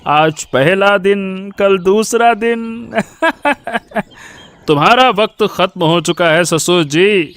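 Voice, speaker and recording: A man playing a spoiled, menacing young bully from a rich family in a story, taunting with drawn-out words. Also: sits high, a bit bright, clean tone, full character voice. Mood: menacing, smug. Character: menacing, mocking young village bully, rich merchant's son. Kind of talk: Hindi audio story dramatic acting.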